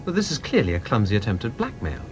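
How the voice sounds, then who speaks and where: Earnest English gentleman, animated mid-pitched voice. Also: fast, faint music behind. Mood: indignant. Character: earnest, reserved English gentleman consulting the police. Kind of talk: British radio drama acting.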